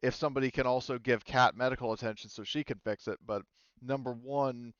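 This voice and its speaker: A man's voice: medium pitch, fairly even intonation, brisk, no echo, dull audio. A man narrating as game master, rambling with many fillers and restarts. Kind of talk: narrating a tabletop game, casual.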